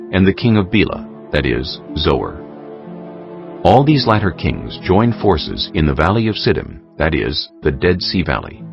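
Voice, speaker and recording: A man with an extremely low, gravelly voice narrates scripture in a solemn, deliberate way. His delivery is dramatic and scripted. Music plays clearly behind him. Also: muffled recording.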